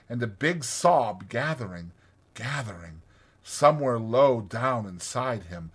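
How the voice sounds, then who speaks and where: A man narrating a classic storybook in an expressive, theatrical way. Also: medium pitch, husky. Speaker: audiobook narrator.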